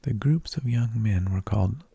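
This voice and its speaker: A man whispering an ASMR narration in a hushed, breathy, gravelly voice, unhurried, with drawn-out phrases and pauses, close to the microphone. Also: fairly low pitch, lively intonation, no room sound. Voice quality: breathy, mostly unvoiced whisper with gravelly creak. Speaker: ASMR host.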